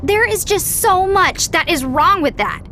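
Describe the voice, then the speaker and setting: Very high, snarky little girl. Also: very animated, measured pace, bright, forceful tone, slight background noise, exaggerated voice. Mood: disgusted, incredulous. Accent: American. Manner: snarky, incredulous. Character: bossy, snarky ten-year-old girl, exasperated cousin. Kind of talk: animated game cutscene acting.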